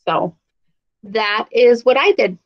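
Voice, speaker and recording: A woman's voice: fairly high pitch, expressive, slow pace. A woman in her thirties giving a casual report on a lesson over a computer video call. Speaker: participant in a read-aloud discussion group. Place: room at home, on a video call. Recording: dull lo-fi video call audio.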